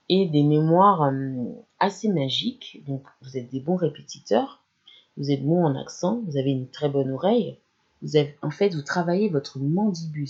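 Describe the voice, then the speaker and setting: A presenter explaining a learning topic straight to the listener, in a gravelly, mellow voice. The sound is dull and lo-fi. The delivery is steady and instructional. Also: mid-range voice. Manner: explanatory, addresses listener directly. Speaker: language channel presenter. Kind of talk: educational talk to camera.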